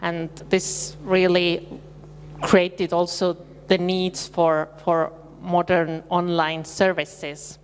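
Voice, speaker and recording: A woman with an Estonian accent presents at a conference, speaking slowly and deliberately with a fairly low voice. She is a little hesitant and fills pauses. Her voice carries a long hall reverb over a faint hum. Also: flat delivery, smooth. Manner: measured, slightly hesitant with fillers.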